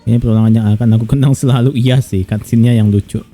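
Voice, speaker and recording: Fast, very dark-toned male game streamer. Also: medium pitch, steady tone. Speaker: streamer reacting to a game story. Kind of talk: casual game livestream commentary. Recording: voice over game music.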